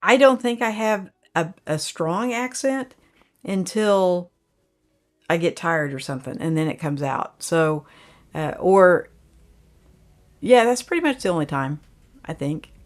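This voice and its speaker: An older woman hosts a casual livestream, reading viewer comments aloud and chatting back in a folksy, meandering way. Her voice sits fairly low, and she takes her time with each thought. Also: lively intonation, unhurried, long pauses. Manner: folksy, rambling, with frequent fillers. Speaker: livestream host.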